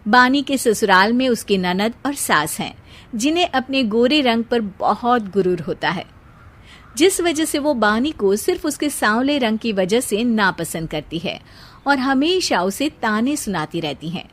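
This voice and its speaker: A woman's voice: on the high side, lively intonation, unhurried. A woman giving scripted narration for a family cartoon story.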